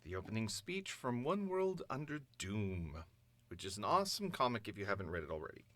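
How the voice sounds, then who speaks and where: Guy vlogging from a voice booth, slightly low voice, pitch moves around a lot, just chatting. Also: big pitch swings, a little fast, fairly bright tone, no room sound. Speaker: amateur voice actor, vlogger. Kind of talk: casual chat to camera, thinking aloud. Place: small voice recording booth.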